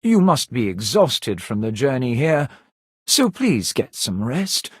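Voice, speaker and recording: A man playing a polite, composed servant of a grand manor in a video game, speaking with formal, measured courtesy. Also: mid-range voice, sing-song, breathy edge, no room sound, clean studio sound, exaggerated voice. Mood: formal, courteous. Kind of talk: scripted video game dialogue.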